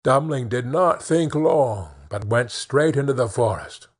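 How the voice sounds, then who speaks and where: A man narrating a children's fairy tale in an expressive storytelling manner, with measured phrasing. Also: mid-range voice, husky. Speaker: audiobook narrator.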